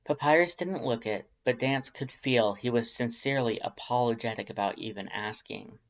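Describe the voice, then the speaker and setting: A man's voice: medium pitch, normal speed, highs cut off. A man reading scripted fan fiction narration as a storyteller.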